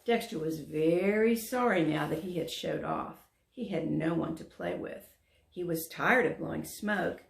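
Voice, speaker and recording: A woman narrates a children's picture book. Her voice sits on the low side with noticeable creak. She reads deliberately and expressively, and the room adds a clear echo. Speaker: volunteer storyteller. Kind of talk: read-aloud children's story narration. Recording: echoey room.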